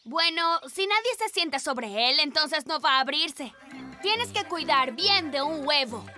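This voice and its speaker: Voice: high-pitched, lively intonation, a little slow, sharp tone, no echo, exaggerated voice. An actor voicing a sensible, know-it-all young animal in a children's cartoon, explaining things matter-of-factly. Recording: voice over children's cartoon music.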